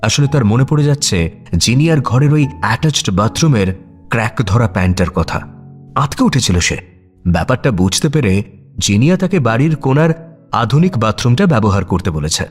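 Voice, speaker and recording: A man's voice: mid-range voice, expressive, normal speed. A man narrating a scripted story in a dramatic storytelling style. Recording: music bed under voice. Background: background music.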